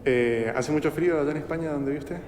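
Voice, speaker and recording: Polite interviewer in an echoey room. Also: male voice, a little high, fast. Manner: polite, long rambling questions with fillers. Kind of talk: interview questions. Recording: echoey room with hall reverb, faint noise.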